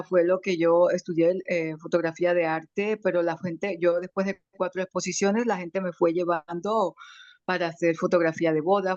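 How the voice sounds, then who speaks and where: Woman, slight foreign accent, tearful and grateful, halting restarts, dry muffled video call. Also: on the low side, darkish tone, no echo. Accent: slight foreign accent in Spanish. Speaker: webinar participant. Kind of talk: emotional personal testimony.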